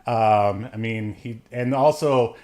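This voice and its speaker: A man co-hosting a podcast, chatting casually about films in a rambling, halting way with drawn-out musing. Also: fairly low pitch, a little mellow. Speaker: podcast co-host reviewing films. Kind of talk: casual film-review chat.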